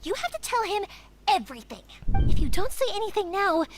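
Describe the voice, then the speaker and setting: Anime game heroine: young, stubborn, earnest, very high lively voice, music clearly behind. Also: female voice, a little fast. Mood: impassioned, pleading. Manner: emphatic, impassioned. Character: spirited young firework-maker woman, earnest and stubborn.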